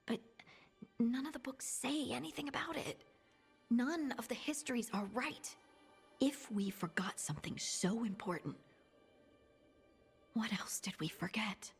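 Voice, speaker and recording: Breathy, unhurried dwarf scholar. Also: female voice, a little high, full character voice. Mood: earnest, uncertain. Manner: halting, musing, trails off. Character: dreamy, absorbed dwarf woman scholar, earnest and wondering. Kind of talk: video game dialogue acting. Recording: long hall reverb, music underneath.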